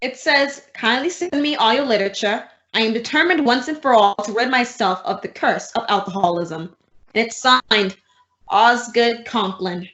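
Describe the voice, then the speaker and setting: A woman playing a wry, quick-witted schoolteacher with dry delivery in an old-time radio comedy. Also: a little high, fairly bright tone, close to an everyday voice. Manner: dry, wisecracking. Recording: roomy, dull lo-fi audio.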